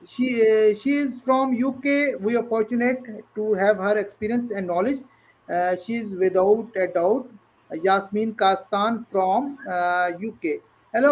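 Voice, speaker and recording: A man's voice: high-pitched, rough recording. A man from Pakistan hosting a live online interview, hesitant with many fillers and restarts. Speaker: interview host.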